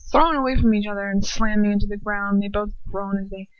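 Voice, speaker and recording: An amateur woman narrator reads a story aloud at a middle pitch, sometimes stumbling and repeating herself. The recording is dry, with a slightly dull, lo-fi sound. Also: steady tone, brisk, dry recording. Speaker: amateur fan fiction reader. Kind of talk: narrating a story in first person.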